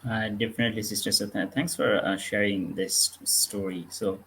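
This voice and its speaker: Low flat-voiced man, faint hiss. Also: normal speed, low-quality recording. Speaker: co-host. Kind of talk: online interview.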